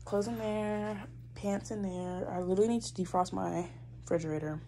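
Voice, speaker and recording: A woman's voice: medium pitch, fairly even intonation, moderate pace, warm tone. A woman of about twenty with an American accent, chatting casually and slangily in a vlog, talking to herself and her viewers. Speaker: college student vlogger. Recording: very noisy handheld vlog audio.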